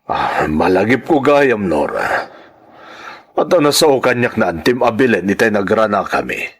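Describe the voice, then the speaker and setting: A man with a Filipino accent playing a calm, protective middle-aged father in a radio drama, husky and reassuring. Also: lowish voice, normal speed, heavily acted. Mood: calm, fatherly. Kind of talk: Ilocano radio drama acting.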